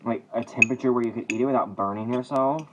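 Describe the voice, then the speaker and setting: A man's voice: mid-range voice, steady tone, fast talker. Young man, very noisy kitchen recording. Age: young adult. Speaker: vlogger. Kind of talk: casual cooking vlog chat. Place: home kitchen, indoors. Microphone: phone at arm's length. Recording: clattering dishes and clicks, noisy kitchen.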